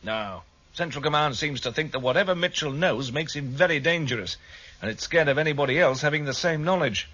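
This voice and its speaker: World-weary British senior detective, vintage radio drama. Husky, bright edge, wry authority, dull lo-fi broadcast sound. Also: male voice, fairly high pitch, lively intonation, a little fast. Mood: frustrated, suspicious.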